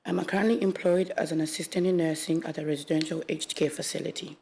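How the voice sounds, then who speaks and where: Young woman from congo reading her statement, kinda low voice, careful and steady, few restarts. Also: quick pace, gravelly, a little hiss or hum. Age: 20s. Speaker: witness, aged care worker. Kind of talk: reading a prepared statement aloud. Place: public hearing room.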